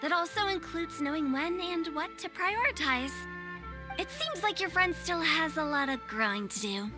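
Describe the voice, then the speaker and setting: A woman's voice: very high-pitched, expressive, normal speed. A woman voicing a playful, self-assured young woman with a breezy, teasing manner in a video game. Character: playful, self-assured young female funeral parlor director. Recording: music behind the voice.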